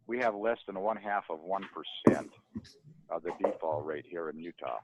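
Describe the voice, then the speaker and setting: A man's voice: low-pitched, normal speed. An older man, a real estate broker, chatting casually on a phone conference call. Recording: noisy phone line with clicks.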